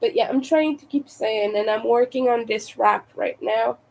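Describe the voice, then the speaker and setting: A woman with a raspy, soft-spoken voice, sick and low on energy, chatting casually and hesitantly on a livestream. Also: normal speed, rough recording. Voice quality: raspy from vocal cord damage. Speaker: livestream host, crafter.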